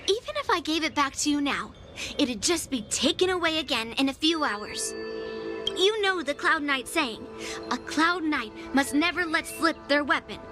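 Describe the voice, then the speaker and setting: High-pitched cocky bratty young swordgirl. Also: animated, music in the background. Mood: smug taunting. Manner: teasing, boastful, drawn-out delivery. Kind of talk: anime video game voice acting.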